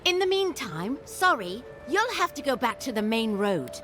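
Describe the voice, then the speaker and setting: Casting: wary woman guard in a fantasy game, bright high register, expressive, firm and businesslike. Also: normal speed, over music. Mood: firm, apologetic. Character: firm, officious female guard, brisk and wary. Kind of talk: video game voice acting.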